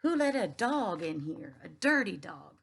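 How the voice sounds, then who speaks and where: A woman voicing a frantic male grocery store manager who yells, in a put-on Southern accent. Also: medium pitch, very animated, a little slow, vocal fry, heavily acted. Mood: yelling. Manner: yelling, frantic.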